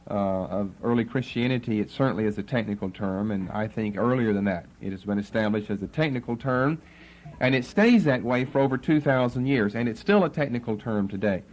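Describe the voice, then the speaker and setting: A man giving a Bible lecture to a class, spelling out words letter by letter and repeating key terms slowly. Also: medium pitch, slight background noise. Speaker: Bible teacher. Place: classroom with students writing.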